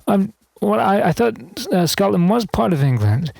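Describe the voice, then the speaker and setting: Man as hapless radio host, lively swooping pitch. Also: moderate pace, gravelly, dry recording, full character voice. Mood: flustered, pleading. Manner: stammering, flustered. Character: hapless, flustered radio host, pleading and easily exasperated.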